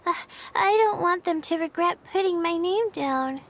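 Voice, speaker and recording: A woman voicing a young female student astrologer in a video game, with a soft, earnest delivery. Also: very high voice, normal speed, background noise. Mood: shy, earnest.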